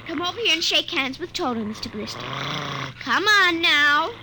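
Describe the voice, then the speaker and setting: An actress playing an excitable, moody young girl in an old radio drama, childlike and pleading. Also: sits high, moderate pace. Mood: excited. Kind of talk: 1950s radio drama acting. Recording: noisy old radio recording.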